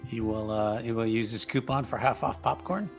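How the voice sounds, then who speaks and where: A man's voice: mid-range voice, normal speed, music in the background. A man playing in a tabletop game, joking and laughing often. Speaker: player. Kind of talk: casual chat, playing a character.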